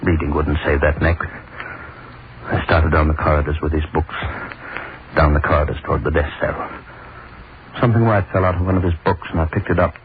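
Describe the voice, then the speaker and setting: Brief: an obsessive old-radio hangman, cavernous low voice, flat delivery, tinny hissy broadcast. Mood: brooding. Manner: slow, broken, obsessive inner monologue. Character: brooding male hangman, obsessed with his noose. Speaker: narrator. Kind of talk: 1930s radio horror acting.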